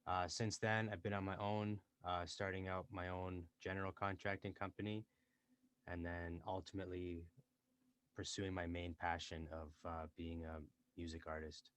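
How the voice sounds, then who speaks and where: A young man, an entrepreneur and rapper born in Dubai and raised in Canada, chatting casually as a podcast guest from a small closet home studio, relaxed and full of fillers. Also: low voice, moderate pace, no echo. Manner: relaxed, chatty, many fillers and self-quoting. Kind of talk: casual interview chat.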